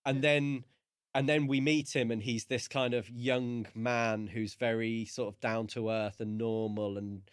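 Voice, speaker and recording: A man's voice: mid-range voice, level tone, unhurried, clean tone, no echo, studio quality. A man co-hosting a podcast, talking casually and thoughtfully about a book. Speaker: podcast co-host.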